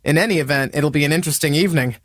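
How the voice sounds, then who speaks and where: An actress playing a playful middle-aged aunt and former pro wrestler in video game dialogue, chatty and teasing. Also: female voice, deep voice, racing, no echo, clean studio sound. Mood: wistful, proud.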